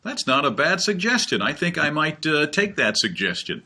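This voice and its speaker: Husky bright-voiced host, Northeastern accent. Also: male voice, fairly high pitch, sing-song, moderate pace. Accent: Northeastern American. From Northeastern United States. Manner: friendly, asks questions. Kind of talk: casual call-in chat.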